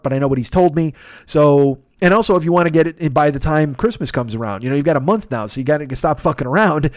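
A man hosts a podcast and runs through the end-of-show plugs in a loose, rambling way, swearing now and then. He talks quickly in a somewhat high register. The close mic sounds dry and dead, with no room in it. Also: a little mellow, no echo. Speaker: podcast host.

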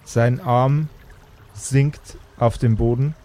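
Male game master, unhurried and dark-toned, improvising fantasy narration with dramatic pauses over faint ambience. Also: mid-range voice, steady tone.

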